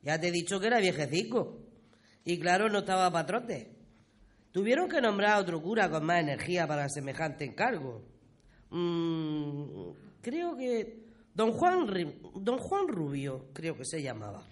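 A man's voice: sits high, sing-song, unhurried. A man playing a patient local villager in a radio play, explaining things colloquially. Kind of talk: conversational radio play acting.

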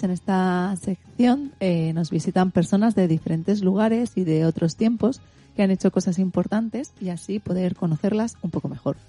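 A woman's voice: fairly low pitch, warm tone. A woman with a Spain Spanish accent, presenting a children's radio show as its host.

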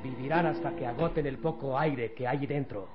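An actor playing a cold, scheming villain in an old radio serial, theatrical and menacing. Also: male voice, mid-range voice, normal speed, highs cut off, loud music behind the voice, close to an everyday voice. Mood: gloating, menacing. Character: gloating, scheming male villain, cold and ruthless.

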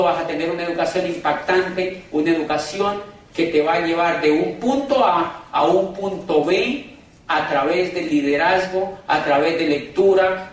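Emphatic high-voiced man in an echoey hall. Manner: emphatic, preachy, keeps addressing the crowd as "gentlemen"; dramatic slowdowns and pitch swings for emphasis. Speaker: business motivational speaker. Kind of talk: live seminar talk to an audience. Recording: echoey hall from far off, faint noise.

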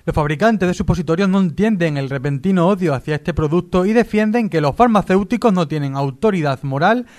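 A male radio co-host with a Spain accent delivers mock news in a high-pitched voice. He reads formal reports and drops into chatty asides. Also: animated, fairly quick, hardly any pauses, darkish tone.

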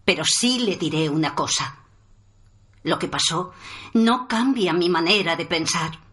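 An actress playing a grave, defiant woman survivor giving measured, firm testimony in a radio drama. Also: on the low side, animated, a bit bright.

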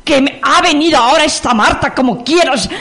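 A woman's voice: sits high, brisk, a bit bright. A woman with a Spanish accent playing a hurt, reproachful businesswoman in an amateur radio soap opera. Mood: indignant. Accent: Spain. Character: hurt, reproachful businesswoman who feels betrayed by lover.

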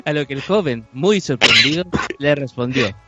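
A man with a Chilean accent narrating an improvised comic radio play in halting, mock-solemn phrases. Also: medium pitch, animated, lo-fi sound, over loud music. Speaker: narrator on a comedy improv show.

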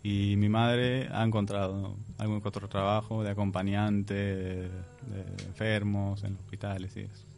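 A young man from Palestine with a low voice answers questions on a radio show. He talks hesitantly and laughs softly between his answers. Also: little pitch movement, a little slow, vocal fry, hardly any pauses. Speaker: guest.

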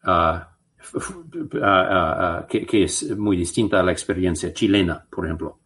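Slow halting man. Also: sits low, fairly bright tone. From United States. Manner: slow and halting, many restarts and filler sounds. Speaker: guest researcher. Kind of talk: interview answers, anecdotal.

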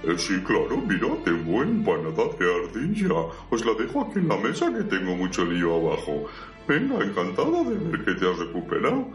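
Male actor as chubby affable innkeeper, comedy audio drama, lowish voice, extremely swooping intonation. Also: wildly swooping pitch, brisk. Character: fat, friendly, busy male innkeeper cook. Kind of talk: amateur parody audio drama. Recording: roomy, distant mic, music underneath.

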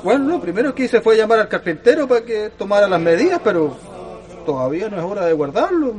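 A man's voice: high-pitched, very animated, fast talker, darkish tone. A man playing a humble, resigned country father in a radio drama, with a rural Chilean accent and a colloquial, deferential manner. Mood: matter-of-fact.

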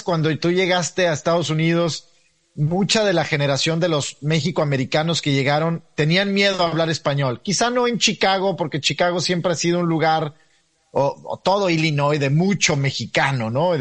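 A man interviewing a chef, asking probing, chatty questions and adding his own reflections. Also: fairly high pitch.

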